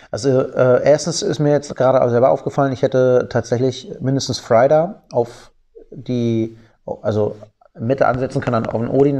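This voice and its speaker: A man with a cold gives gameplay commentary, calmly explaining each move in detail with frequent fillers. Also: medium pitch, lo-fi sound. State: has a cold.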